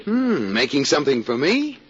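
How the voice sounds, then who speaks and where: An actor playing a smug, affectionate husband who needles playfully in a 1940s radio sitcom. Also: male voice, a little high, huge pitch swings, normal speed, slightly rough, exaggerated voice. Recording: noisy, muffled 1940s radio recording, studio audience.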